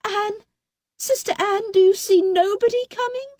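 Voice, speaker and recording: A woman's voice: a little slow, darkish tone, no room sound, studio quality. Woman voicing a terrified pleading young wife. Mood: desperate. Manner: high, desperate pleading.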